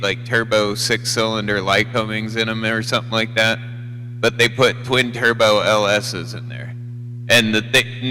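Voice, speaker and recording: A fairly low-voiced American man rambles casually over a CB radio. His voice is bright and forceful, heard through long reverb with a faint noisy hum. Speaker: CB radio operator. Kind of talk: casual chat. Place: live CB radio feed.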